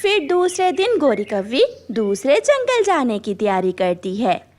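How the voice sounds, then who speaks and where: A woman's voice: high voice, sing-song, a little slow, a little mellow. A woman narrating a scripted children's cartoon story.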